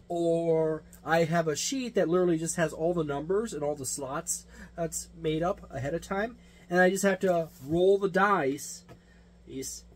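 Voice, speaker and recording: A man giving a casual, unscripted hobby review and explanation, rambling and correcting himself with emphatic delivery. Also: fairly high pitch. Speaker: tabletop gaming reviewer and game master. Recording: noticeable background noise with hum.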